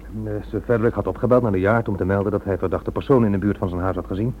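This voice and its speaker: An actor in a Dutch radio drama playing an evasive, defensive police inspector. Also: male voice, on the low side, steady tone, rapid-fire, close to an everyday voice. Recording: dull, noisy old radio recording with hum.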